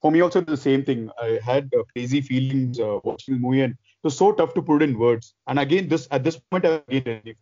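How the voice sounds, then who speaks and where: A man chatting as a podcast host, loud and excited, rambling with restarts. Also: mid-range voice, fairly quick, few pauses, no room sound, muffled recording. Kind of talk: casual chat.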